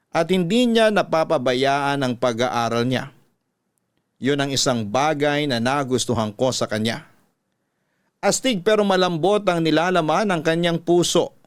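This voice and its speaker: A man with a Filipino accent reading a listener's letter as a scripted story in classic radio style. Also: medium pitch.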